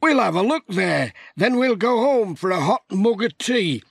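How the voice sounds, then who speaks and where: Man putting on high-pitched plain-spoken sheep farmer. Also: sing-song, a little slow, creaky, breathy edge, no echo, clean studio sound, heavily acted. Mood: cautiously hopeful.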